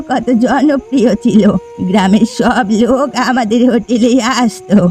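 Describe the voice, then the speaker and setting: A woman voicing a poor, elderly, resigned village hotel keeper in a cartoon fairy tale, with a plaintive delivery. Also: sits high. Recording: music behind the voice.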